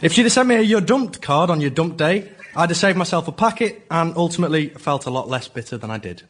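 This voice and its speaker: A man making an informal comic pitch as a member of the public, plain-spoken and earnest. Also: animated, fast, fairly bright tone. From Hull, England. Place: radio studio with live audience.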